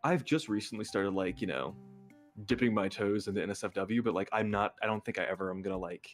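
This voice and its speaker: A man's voice: on the low side, fast talker. A man chatting casually as a guest on a livestream, a bit hesitant and self-deprecating. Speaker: ASMR content creator, stream guest. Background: music.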